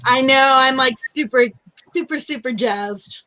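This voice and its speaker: A woman's voice: high-pitched, a little slow, sharp tone, poor audio. Chatty woman hosting a live stream. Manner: chatty, upbeat, laughs easily. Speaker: livestream host. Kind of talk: casual live chat. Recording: choppy internet stream.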